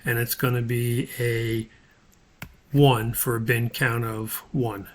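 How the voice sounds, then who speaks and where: A man with a gravelly, husky voice narrating an unscripted spreadsheet tutorial as an instructor, thinking aloud in a halting, step-by-step way. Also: medium pitch, level tone.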